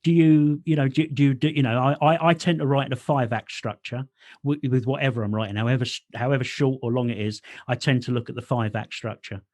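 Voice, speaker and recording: A man's voice: medium pitch, fairly even intonation, quick pace, a little mellow. A middle-aged man hosting a casual interview as a fellow writer, informal and blunt, with a self-deprecating streak. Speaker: podcast host, writer.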